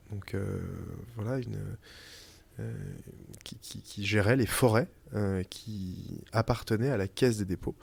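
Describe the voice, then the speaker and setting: A young French man, an interviewee telling his story in casual conversation, pausing often with fillers and restarts. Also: lowish voice, gravelly, a little mellow. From France. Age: young adult. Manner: hesitant, many fillers and restarts. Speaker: interviewee, company founder. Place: family house in the countryside.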